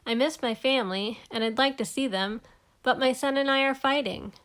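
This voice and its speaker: Old male storybook neighbor, woman performing: kindly, regretful, gentle, plain-spoken. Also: sits high, moderate pace, clean tone.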